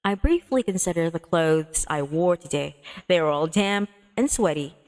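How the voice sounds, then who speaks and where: A woman's voice: sits low, expressive, moderate pace, a little mellow. A woman livestreamer reading a visual novel aloud expressively, with playful casual asides. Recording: music behind the voice. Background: music.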